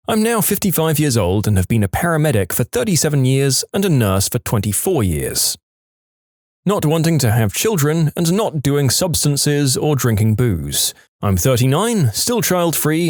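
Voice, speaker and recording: Lively male storytelling narrator. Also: mid-range voice, dry recording, studio quality. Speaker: narrator reading listener stories. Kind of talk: scripted narration of online stories.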